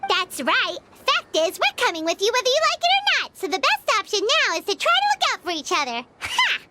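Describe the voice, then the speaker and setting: A woman's voice: extremely high pitch, huge pitch swings, a little fast, heavy background noise, heavily acted. A woman voicing a small, chirpy, girlish travel companion who talks in a perky, eager way.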